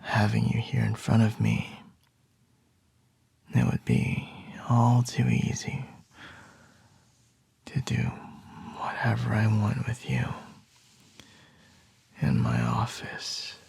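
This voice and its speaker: A man doing an ASMR roleplay as a boss, speaking in a slow, teasing, intimate whisper close to the microphone. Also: lowish voice, big pitch swings, gravelly, darkish tone, slightly rough.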